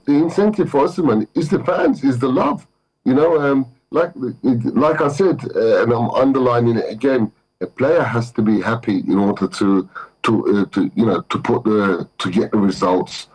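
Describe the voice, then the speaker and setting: A man's voice: mid-range voice, moderate pace, darkish tone, slightly rough, low-quality recording. A man from Turkey with a London accent, a football manager speaking as a guest, thinking aloud with many fillers and restarts. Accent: London English. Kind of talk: remote interview.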